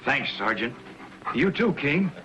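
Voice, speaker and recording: A man's voice: mid-range voice, big pitch swings, takes it slowly, dull audio, noisy background. A man playing a humble, friendly miner in a 1950s television adventure, speaking in a homespun, hesitant way. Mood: grateful, friendly. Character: grateful, kindly gold miner, friendly and humble.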